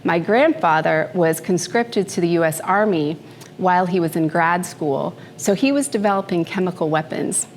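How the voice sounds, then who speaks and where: Low-voiced woman giving a relaxed talk. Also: slight background noise. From Philippines. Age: 50s. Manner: unhurried, conversational, with fillers and asides. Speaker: speaker at a morning talk. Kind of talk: personal talk to a live audience. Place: event room before an audience. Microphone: handheld microphone.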